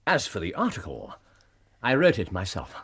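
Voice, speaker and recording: An actor playing a brilliant, self-assured Victorian English detective in a radio drama, precise and teasingly amused in manner. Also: male voice, low voice, huge pitch swings, normal speed, fairly bright tone, breathy edge, heavily acted.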